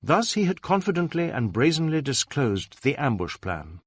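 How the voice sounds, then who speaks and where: A man's voice: mid-range voice, big pitch swings, normal speed, husky, no room sound. A man reading a detective novel aloud as scripted dramatic narration. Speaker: audiobook narrator.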